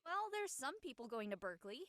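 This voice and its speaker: A bubbly, feisty young woman played by an actress talks with affection in an audio drama. Her voice is high, bright and very animated. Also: fairly quick, no echo, clean studio sound. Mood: bubbly, affectionate. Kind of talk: casual scripted dialogue.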